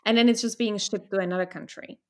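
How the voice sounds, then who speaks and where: A woman in her thirties, born in the Czech Republic, talking through her memories as a guest in a relaxed conversation. She tells her stories thoughtfully and sometimes restarts a phrase, with a voice of middling pitch. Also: fast. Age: 30s. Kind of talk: casual interview conversation.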